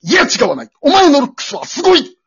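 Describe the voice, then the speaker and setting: An amateur radio-drama performer playing a passionate, pushy male high school teacher, melodramatic and swinging between hushed and loud delivery. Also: wildly swooping pitch, fast talker, highs cut off. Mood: insistent.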